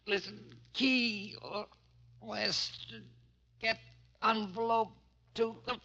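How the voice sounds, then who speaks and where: A man's voice: sits high, steady tone, extremely slow, gravelly, bright, forceful tone, exaggerated voice. An actor playing a stabbed, dying man who gasps and pleads desperately in an old radio drama. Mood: desperate, gasping. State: badly wounded, gasping. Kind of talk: 1940s-50s radio drama acting. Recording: muffled, noisy old radio recording.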